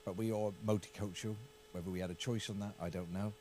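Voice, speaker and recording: A middle-aged English man with an English accent, a county councillor talking through a political discussion in a halting way, repeating words and hedging. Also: lowish voice, fairly even intonation, darkish tone, a little hiss or hum.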